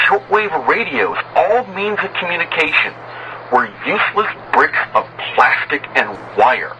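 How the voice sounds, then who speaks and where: Man narrating horror over noisy ambience. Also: fairly high pitch. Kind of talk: dramatic scripted narration of a first-person horror story.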